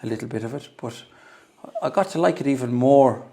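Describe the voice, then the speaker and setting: A man's voice: medium pitch, brisk, gravelly, dark tone. An Irish man, a guest, talking earnestly and emphatically in a casual chat. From Ireland. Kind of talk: casual conversation.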